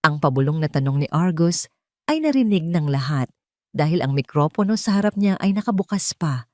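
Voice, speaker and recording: A woman's voice: low-pitched, expressive, brisk, dry recording, studio quality. A woman narrating a scripted romance and revenge audio drama in a dramatic storytelling style.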